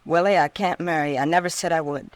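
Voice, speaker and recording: A woman's voice: sits low, steady tone, fast talker, no echo, lightly acted. A woman acting a young frontier saloon girl in an old radio western. She is earnest and pleading, with an American accent and a dry, close sound.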